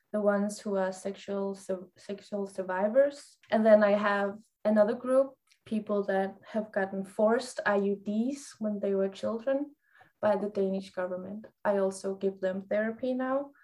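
A woman from Greenland with a Greenlandic accent, a native Greenlandic speaker, answering interview questions softly and thoughtfully, with pauses and fillers. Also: medium pitch. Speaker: guest psychologist.

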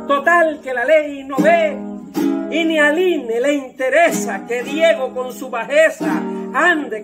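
A man with a Mexican accent playfully singing and reciting satirical rhymed news verses in a folksy way. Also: very high voice. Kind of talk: sung and recited rhymed political verse with jarana. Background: plucked jarana guitar music.

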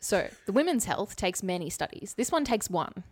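A woman's voice: mid-range voice, big pitch swings, normal speed, slightly rough. A woman co-hosting a podcast, chatting casually and summarising articles in an informal, explanatory way with wry asides. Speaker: podcast co-host.

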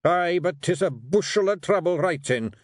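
A man reading an audiobook, voicing a gruff small male warrior pixie chief with a Scottish accent who growls and blusters. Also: high-pitched, lively intonation, moderate pace, no echo, studio quality, pitched above the performer's own voice. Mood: growling. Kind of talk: audiobook character voice.

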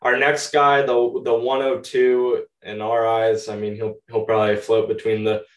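A man's voice: medium pitch, completely flat delivery, moderate pace. A young man who sounds a little stuffed up, co-hosting a podcast with casual fantasy football analysis. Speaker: podcast co-host. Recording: roomy echo, distant from mic.

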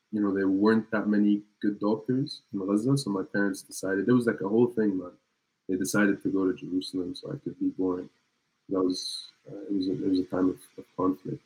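A man in his early twenties, a native French speaker, chatting as a guest in a relaxed, laid-back way. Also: lowish voice, breathy edge, poor audio. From Palestine. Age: early 20s. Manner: relaxed, laid-back, slangy, frequent restarts. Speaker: guest musician. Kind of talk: casual conversational interview.

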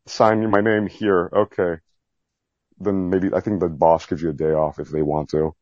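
A man with a low, husky voice chatting candidly in an interview, rambling, self-deprecating and mildly profane. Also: little pitch movement, moderate pace, a little mellow, no echo, muffled recording. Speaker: podcast guest, Navy veteran. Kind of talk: candid casual interview chat.

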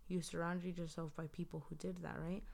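A woman with a low voice chatting casually as a podcast guest, recounting an earlier conversation. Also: level tone, brisk, creaky, darkish tone.

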